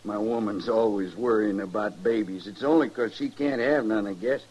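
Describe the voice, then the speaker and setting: An actor playing a bitter, defensive homesteader with a rural American accent, curt and coarse, in an old-time radio western. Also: male voice, fairly low pitch, moderate pace, darkish tone, highs cut off, close to an everyday voice. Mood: sullen, bitter. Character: hard, defensive, bitter starving homesteader man. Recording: vintage radio recording.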